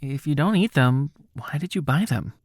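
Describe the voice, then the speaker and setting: A curious, earnest young boy from an audio storybook, acted out by a male narrator, pitched high and swinging freely up and down. He speaks with innocent frankness and is full of questions. The sound is close and dry. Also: lively intonation, moderate pace, breathy edge, no room sound. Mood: curious, gentle.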